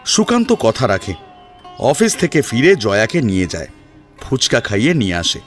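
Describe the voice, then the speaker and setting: A man's voice: mid-range voice, huge pitch swings, moderate pace, soft background music. A man narrating a dramatised family story in a scripted storytelling style.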